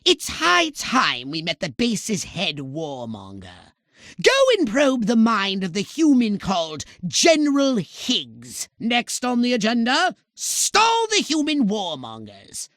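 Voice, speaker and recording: A very high, bright-voiced alien commander, scheming and imperious. His pitch swings wildly as he hands out pompous, sarcastic orders in theatrical video game style, recorded very dry. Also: huge pitch swings, takes it slowly, no room sound, heavily acted. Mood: gleefully sinister. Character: shrill, bossy, scheming alien commander giving mission orders.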